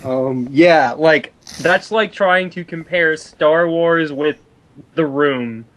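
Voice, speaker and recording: Slightly high-voiced man giving halting critical commentary. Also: a bit bright, slight background noise, low-quality recording. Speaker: guest commentator. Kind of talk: casual commentary.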